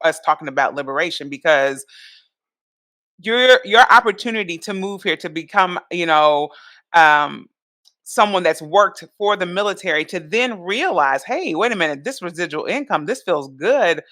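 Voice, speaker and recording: A woman's voice: on the low side, lively intonation, moderate pace, pushed and bright, no echo, studio quality. A woman hosting a podcast, chatting casually and enthusiastically with a guest and saying "y'all" and "like" a lot. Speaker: podcast host.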